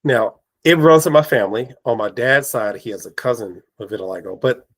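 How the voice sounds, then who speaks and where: A man hosting a casual podcast chat, his voice raspy from allergies, telling lively stories and acting out quoted remarks. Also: mid-range voice, a little fast. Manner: animated storyteller, acts out quoted exchanges. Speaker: podcast host. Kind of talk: casual conversation.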